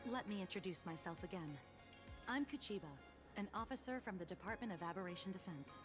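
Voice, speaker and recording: A woman voicing a composed, dutiful female law officer in a game, speaking formally and with measured authority. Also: medium pitch, lively intonation, lightly acted. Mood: composed, explanatory. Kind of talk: game dialogue voice acting. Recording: music behind the voice.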